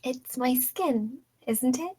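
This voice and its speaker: A woman's voice: sits high, slow, warm tone, breathy edge, heavily acted. A woman playing a bitter, lonely, sickly captive in a period screenplay read, confiding and resigned. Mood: guarded.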